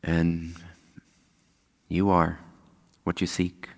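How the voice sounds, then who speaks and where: A man leading a guided meditation as a spiritual teacher, speaking calmly and unhurriedly with long pauses. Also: sits low, gravelly. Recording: long hall-like reverb.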